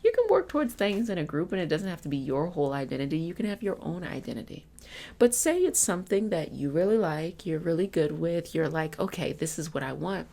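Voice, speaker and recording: A woman with a lowish voice and plenty of vocal fry talks like a self-help coach in an ASMR session. She sounds reassuring and conversational, soothing the listener as she explains things. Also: very animated, a little fast, warm tone. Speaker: ASMR host and energy healing practitioner.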